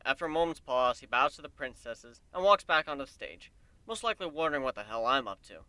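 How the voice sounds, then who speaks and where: A man giving an animated first-person dramatic reading of a fan fiction story. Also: mid-range voice, fast talker, no echo. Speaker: amateur story reader.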